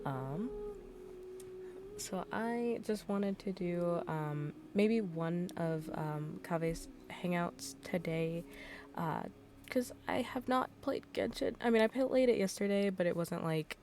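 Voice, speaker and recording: A woman's voice: on the low side, takes it slowly, slight background noise. A woman livestreaming a game in casual, rambling chat, her voice a little worn out and tired.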